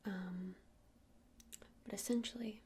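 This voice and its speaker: A gentle witch who keeps a shop , played by a woman for an ASMR roleplay. She whispers breathily and evenly, with little rise or fall. Her explanations are soothing and hesitant, over a faint layer of background noise. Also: medium pitch, steady tone, slow pace, lightly acted. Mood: soothing, unhurried. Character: gentle witch shopkeeper showing crystals to a customer.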